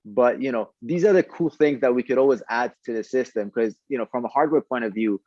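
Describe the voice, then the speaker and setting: A man's voice: medium pitch, a little fast, a little mellow, dry recording, highs cut off. A man talking conversationally as a podcast guest, explaining a product and often repeating words as he goes. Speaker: startup founder, podcast guest.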